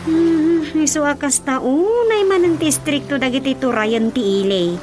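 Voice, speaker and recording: A woman acting a gossipy, expressive village woman with an Ilocano accent in a radio drama comedy. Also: high-pitched, unhurried. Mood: chatty, concerned. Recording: music bed behind the voice.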